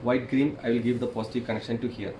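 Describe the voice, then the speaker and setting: A man who is a non-native English speaker gives a hands-on technical demonstration, explaining step by step in a halting, repetitive way. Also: medium pitch, level tone, a crowd in the background. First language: not English. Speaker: tutorial presenter, installer. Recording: echoey room, clearly noisy.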